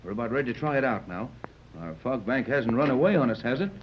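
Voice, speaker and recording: An American man acting a gruff, practical sea captain and inventor in a 1930s radio serial, brisk and plain-spoken. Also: medium pitch, expressive, natural voice. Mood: brisk, commanding. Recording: muffled, noisy 1930s radio recording.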